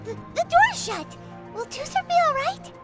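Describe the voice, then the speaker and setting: Game sidekick: tiny blunt fairy girl, extremely high and squeaky, lively swings, music underneath. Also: moderate pace. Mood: worried. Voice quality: squeaky, childlike. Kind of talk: English video game voice acting.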